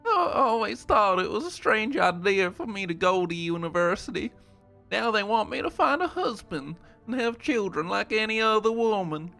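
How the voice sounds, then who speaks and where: A woman's voice: mid-range voice, lively intonation, normal speed, vocal fry, fairly bright tone, faint music behind, pitched above the performer's own voice. A man voicing a young Southern American woman who earnestly reads out a sorrowful farewell love letter. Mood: wistful.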